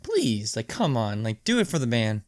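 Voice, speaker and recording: A man's voice: mid-range voice, sing-song, moderate pace, no room sound, studio quality. A man co-hosting a podcast in casual conversation, talking in an informal, slangy way. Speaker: podcast co-host. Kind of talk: casual chat.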